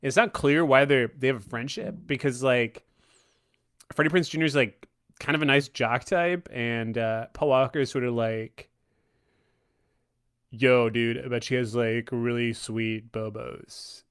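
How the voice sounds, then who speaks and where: A man chatting casually on a podcast, rambling and halting, with a tongue-in-cheek way of talking. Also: medium pitch, a bit bright, clean tone. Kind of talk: casual movie chat.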